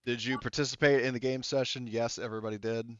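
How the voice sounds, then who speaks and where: A man's voice: mid-range voice, fairly even intonation, quick pace, a bit bright, clean tone, no echo, highs cut off. A man running a tabletop game session, talking in a loose, rambling way full of fillers. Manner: rambling, filler-heavy, laid-back. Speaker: game master.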